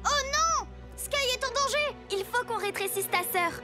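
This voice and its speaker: A performer voicing a caring, energetic schoolgirl big sister and superhero, protective and exclamatory, in a children's cartoon. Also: very high voice, normal speed. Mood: alarmed. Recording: children's music behind voice.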